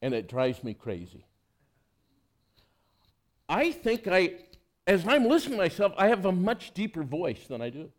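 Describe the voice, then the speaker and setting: A man's voice: sits high, big pitch swings, moderate pace, pauses often. A male pastor giving a conversational sermon, reading scripture and asking the congregation rhetorical questions.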